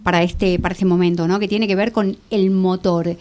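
A woman with a Rioplatense Spanish accent, co-hosting a live-streamed interview, chatty and quick to cut in. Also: on the low side, steady tone, a little mellow. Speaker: co-host.

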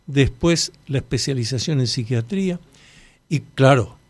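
A man's voice: medium pitch, unhurried, darkish tone, no echo. A middle-aged man with an Argentine Spanish accent hosts an interview, commenting conversationally in an explanatory, emphatic way. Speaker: interview host.

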